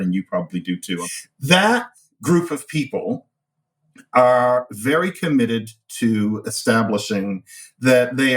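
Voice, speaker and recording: Distant-miked man explaining ideas. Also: mid-range voice. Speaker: expert guest. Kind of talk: explanatory discussion of language and society.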